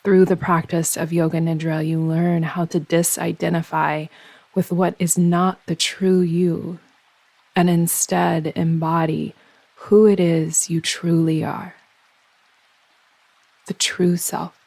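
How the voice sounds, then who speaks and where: A woman leads a guided meditation in a husky, very even voice. She gives soothing instructions in unhurried phrases with pauses between them. The recording is close and dry. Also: fairly low pitch, no echo. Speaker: yoga nidra meditation guide.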